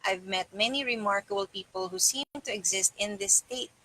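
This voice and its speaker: A middle-aged woman reading and presenting a self-help book to camera in a deliberate, measured way, recorded indoors on a device mic. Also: medium pitch, bright, forceful tone, rough recording. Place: small plain-walled room indoors.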